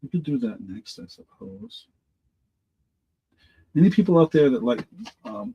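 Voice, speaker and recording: A man hosting a live online auction in a rambling, halting way, full of restarts and fillers, hoarse from allergies. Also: medium pitch, darkish tone, a little hiss or hum, rough recording. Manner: halting, lots of ums and restarts.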